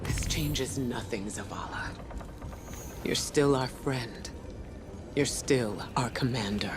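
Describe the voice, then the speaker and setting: An actor playing a loyal, steadfast comrade in a video game, speaking softly and breathily in measured phrases. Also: male voice, medium pitch, music in the background, close to an everyday voice. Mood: tender reassurance. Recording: noisy game ambience behind voice.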